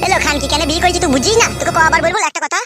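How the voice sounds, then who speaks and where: A woman's voice: very high-pitched, very fast, loud music behind the voice. A woman voicing a hot-headed young village husband in a comedy cartoon, ranting and accusing with defiance. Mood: defiant.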